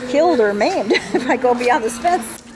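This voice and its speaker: A woman's voice: on the high side, expressive, moderate pace, noisy background. A middle-aged woman chatting casually on camera outdoors, with a wry, playful manner. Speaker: vlogger's companion on camera. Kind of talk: casual chat. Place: outdoors at a winery patio and farmyard. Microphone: camera mic a little away. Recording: loud music behind, outdoor camera audio.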